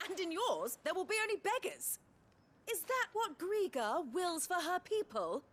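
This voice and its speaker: Righteous fantasy game woman, high voice, animated pitch, formal, impassioned, pointed. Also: normal speed. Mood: indignant challenge. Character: defiant, righteous woman challenging a noble. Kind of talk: scripted fantasy game acting.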